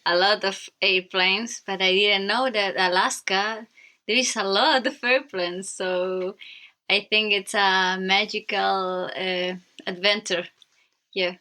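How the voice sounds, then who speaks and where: A woman in her thirties from Chile speaks English slowly, in a Chilean Spanish accent. Her voice is bright, and her phrasing is simple and halting, as from a native Spanish speaker. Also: medium pitch. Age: 30s. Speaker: guest, motorcyclist. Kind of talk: interview.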